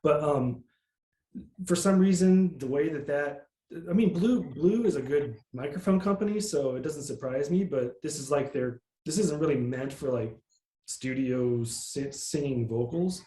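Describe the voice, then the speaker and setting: A man with a nasal voice, a musician guest in a casual interview, talking in a relaxed, rambling way with plenty of fillers. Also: a little high, measured pace, roomy sound, rough recording.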